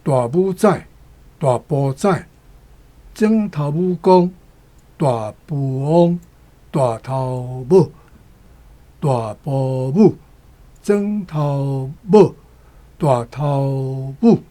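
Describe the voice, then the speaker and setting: Radio language explainer: man, Taiwanese accent, relaxed, unhurried, mid pitch. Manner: repeats words deliberately. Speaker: language commentator. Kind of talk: unhurried explanation of words and idioms.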